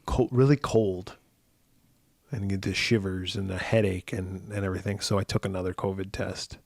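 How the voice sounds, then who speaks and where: A man in his forties with a slightly hoarse, raspy voice, still worn from illness. He co-hosts a podcast in loose, rambling chat full of fillers and restarts. His voice sits fairly low and the recording is close and dry. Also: steady tone, moderate pace, darkish tone, no room sound. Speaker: podcast co-host. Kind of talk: casual chat.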